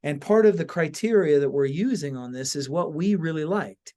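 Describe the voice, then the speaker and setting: A man hosting a podcast, talking conversationally in an earnest, halting way with repeated phrases. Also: fairly high pitch, a little mellow. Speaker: podcast host.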